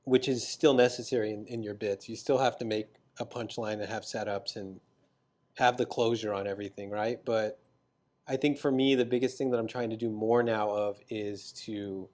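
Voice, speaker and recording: A man's voice: lowish voice, fairly even intonation, normal speed, darkish tone. A young man, a comedian guest, chatting casually on a podcast, rambling through his stories with plenty of fillers. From San Diego, California. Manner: loose, rambling, filler-heavy storytelling.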